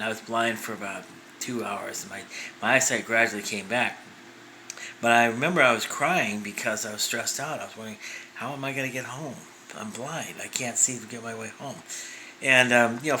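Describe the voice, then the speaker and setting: A man's voice: mid-range voice, pushed and bright, slight background noise, poor audio. A man in his fifties telling a personal story as a vlogger, rambling with many restarts and fillers. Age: 50s.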